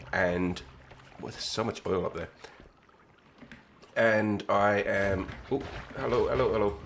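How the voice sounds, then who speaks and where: Male streamer, husky from a cold, gravelly and deep, rambling as he plays. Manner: rambling, thinks aloud while reacting to the game. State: husky from illness, recovering. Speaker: game streamer. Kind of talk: casual live-stream chat while gaming.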